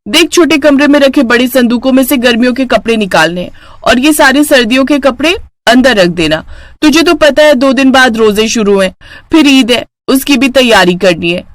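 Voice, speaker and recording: A woman's voice: high voice, animated, normal speed, no echo. A woman voicing a haughty, boastful rich elder sister who bosses people around in a storybook tale. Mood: bossy. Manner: boastful, ordering, dismissive.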